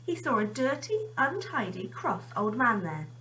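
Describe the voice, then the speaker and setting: A woman's voice: on the high side, sing-song, moderate pace, bright, forceful tone, distant mic, a little hiss or hum, low-quality recording. A woman teacher chatting informally to children and reading them a story expressively.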